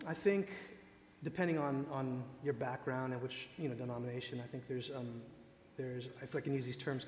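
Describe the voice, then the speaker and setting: A man whose native language is Tagalog gives informal religious teaching, thinking aloud in a reflective, halting way. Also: medium pitch, a little mellow. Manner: reflective, halting, searching for words. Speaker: speaker leading a worship teaching session. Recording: echoey hall, long reverb, background noise.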